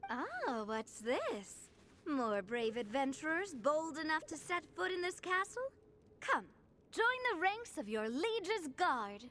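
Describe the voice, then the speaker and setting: A woman's voice: high voice, sing-song, slow pace, background noise, exaggerated voice. A woman voicing a regal, playful giraffe monarch in a video game, pompous and theatrical.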